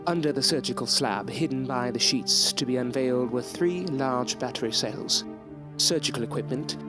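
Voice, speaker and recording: An actor playing an earnest, devoted young medical assistant, speaking formally and reverently in a Gothic audio drama. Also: male voice, mid-range voice, close to an everyday voice. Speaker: actor narrating and playing a character.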